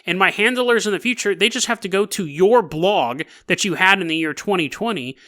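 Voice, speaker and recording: A man hosting a podcast, telling conspiracy stories casually and jokily, with animated, drawn-out emphasis and an amused manner. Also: high-pitched. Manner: jokey, self-amused, chuckles through his points. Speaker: podcast host. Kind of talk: casual solo storytelling about conspiracy theories.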